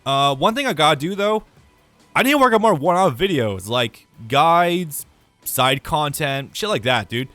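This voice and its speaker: A young man with a tired, raspy voice, talking casually and rambling to his gaming channel's viewers, with lots of fillers and some swearing. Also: fairly high pitch, expressive, unhurried, pushed and bright, no room sound, faint music behind. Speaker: gaming YouTuber. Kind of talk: casual year-review vlog.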